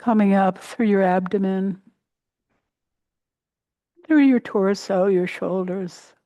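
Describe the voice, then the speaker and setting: A woman teaching a guided meditation in a gentle, unhurried way, pausing between short phrases. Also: medium pitch, fairly even intonation, dry recording. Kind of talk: guided meditation, body scan.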